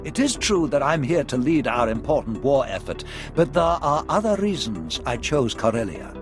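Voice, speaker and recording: An actor playing a genteel, scheming Sith lord in a video game, speaking in a formal, courtly and theatrical way. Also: male voice, mid-range voice, sing-song, moderate pace, over music, natural voice. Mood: warmly impressed. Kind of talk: video game cutscene acting.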